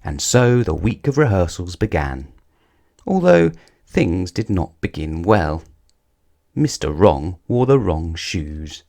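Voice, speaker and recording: A man reading a children's picture book aloud as a storyteller, with deliberate, dramatic emphasis. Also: sits low, very animated, gravelly, a little mellow. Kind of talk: expressive scripted children's story narration.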